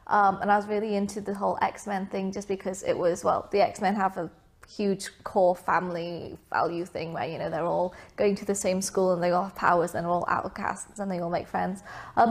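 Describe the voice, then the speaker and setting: Chatty young woman being interviewed. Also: medium pitch. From Vietnam. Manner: chatty, many fillers and asides. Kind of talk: casual interview answers.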